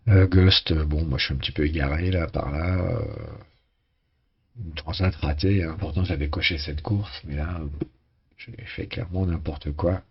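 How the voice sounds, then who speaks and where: A man with a very deep, hoarse voice gives a loose, conversational commentary on a sailing race game. He hesitates often, repeating words and filling gaps with uh as he goes. The recording sounds somewhat dull and lo-fi. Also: fairly even intonation, normal speed. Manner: hesitant, many fillers and restarts. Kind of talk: casual live game commentary.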